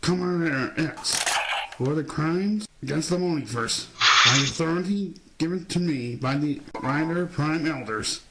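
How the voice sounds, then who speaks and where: A man playing a grim enforcer in a superhero audio drama, solemn, deliberate and menacing, with music playing. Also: on the high side, heavy background noise, voice pushed up. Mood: stern, condemning. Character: grim male enforcer sent to judge a fugitive.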